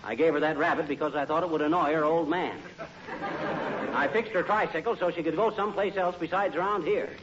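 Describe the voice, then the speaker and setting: A man's voice: a little high, brisk, crowd noise, close to an everyday voice. A man with an American accent playing a grumpy, blustering middle-aged husband who hides his sentimental side, in 1940s radio comedy style. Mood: indignant, defensive. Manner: blustering, folksy, grumbling. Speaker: comedy lead actor. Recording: noisy, dull old-time radio recording.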